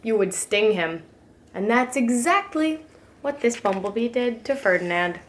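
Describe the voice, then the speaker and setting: A woman reading a children's storybook aloud as a preschool teacher, with gentle, expressive emphasis. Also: on the high side, unhurried, slight background noise.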